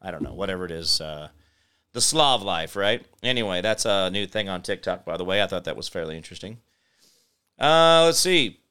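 An American man hosting a talk show with rambling, off-the-cuff political commentary in a halting, sardonic, digressive manner. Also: low-pitched, lively intonation, pushed and bright, smooth. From United States.

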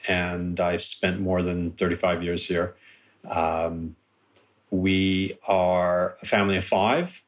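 A man in his fifties from California with a mixed American and British accent, a guest talking casually over a video call, halting with many restarts. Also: low voice, little pitch movement. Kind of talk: casual conversation.

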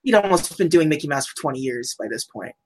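A woman co-hosting a casual chat about old cartoons, chatty and quick to laugh, looking things up as she talks. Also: sits low, lively intonation, a little hiss or hum. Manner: chatty, laughs often, looks things up aloud. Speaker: podcast co-host.

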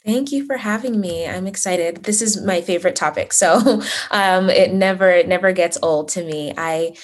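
A woman's voice: fairly low pitch, moderate pace. A woman in her thirties from Uganda, raised in Las Vegas, telling her story as a podcast guest in a chatty, upbeat way with many fillers. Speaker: podcast guest, therapist and money coach. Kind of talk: conversational interview answers.

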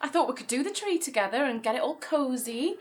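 An actress playing a cheerful, keen sister who coaxes and teases in a naturalistic audio drama. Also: female voice, high-pitched, animated, fast talker. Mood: eager, coaxing.

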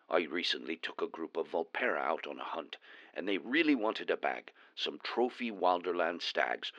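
Casting: man as a gruff, chatty dwarf news reporter with a lilt, very deep gravelly voice, crisp and bright. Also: no echo, dull audio, full character voice. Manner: chatty, wry storyteller. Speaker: remote correspondent.

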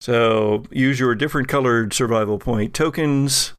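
A man narrating a horror tabletop game as game master, in a measured, descriptive storytelling manner. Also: mid-range voice, smooth, dry recording, clean studio sound.

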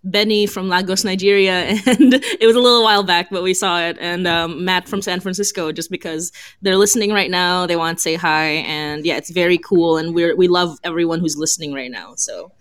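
A woman's voice: lowish voice, fairly even intonation, a little fast. A young woman chatting casually in a group as a podcast creator, enthusiastic and gushing, with a giggly way of talking. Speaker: fiction podcast creator and guest.

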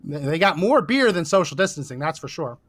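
A man co-hosting a political commentary show, animated and laughing often, saying he is losing his voice. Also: fairly high pitch, fairly quick. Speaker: co-host.

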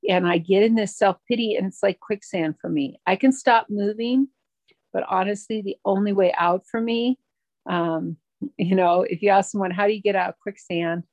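Woman, middle-aged, recovery meeting share, halting, reflective, gravelly voice, dry sound. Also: mid-range voice, fairly even intonation, a little mellow, dry recording. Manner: halting, reflective, many fillers and restarts. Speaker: twelve-step meeting speaker.

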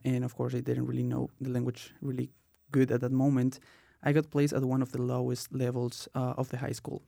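A man's voice: medium pitch, gravelly, dark tone, no room sound, clean studio sound. A man in his twenties from Colombia, hosting a student podcast and telling his own story with many "uh" and "um" fillers. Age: 20s. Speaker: podcast host. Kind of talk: casual podcast talk.

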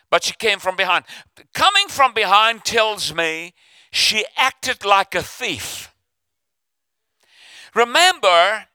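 An older man preaches with fervour, his voice high, very bright and smooth. He calls on the church to answer back and slips into the inner voices he describes, all recorded close and dry. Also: no room sound. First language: Afrikaans. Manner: fervent, calls for responses, acts out inner voices. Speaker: pastor. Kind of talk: sermon.

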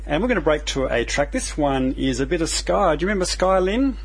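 A man's voice: a little high, very animated, brisk, vocal fry, husky. A man hosting a community radio science show, chatty and casual as he interviews guests.

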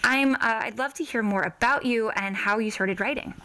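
A woman's voice: a little high, moderate pace. A woman podcast host chatting in an enthusiastic, gushing interview style. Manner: gushing, excitable.

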